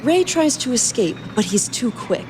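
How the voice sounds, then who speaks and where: A woman's voice: on the high side, big pitch swings, normal speed, background noise. A woman co-hosting a podcast, moving between banter and narrating stories aloud. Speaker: podcast co-host and musician.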